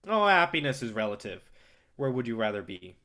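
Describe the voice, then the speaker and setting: A man's voice: medium pitch, sing-song, fairly quick, bright, forceful tone. A man acting in a staged play reading as a brash, cocky film distributor, swaggering and persuasive with plenty of profanity. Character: brash, cocky, foul-mouthed male film distributor, smooth manipulator.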